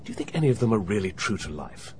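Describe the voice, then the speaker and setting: An actor playing a genial, unruffled middle-aged banker in a radio drama, breezy and understated in manner. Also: male voice, on the low side, sing-song, quick pace, slight background noise. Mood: breezy, amused. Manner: breezy, understated, self-deprecating. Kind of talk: BBC radio drama acting.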